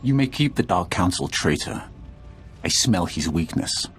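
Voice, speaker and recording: Over faint music, a very deep, ominous game villain. He is a patient executioner, measured and cryptic, full of cold menace. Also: expressive. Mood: coldly intrigued. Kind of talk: video game villain acting.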